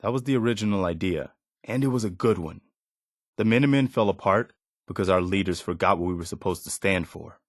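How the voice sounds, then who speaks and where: An actor voicing an earnest, resolute militia leader who rallies settlers, in video game dialogue. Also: male voice, deep voice, a little fast, no room sound, clean studio sound.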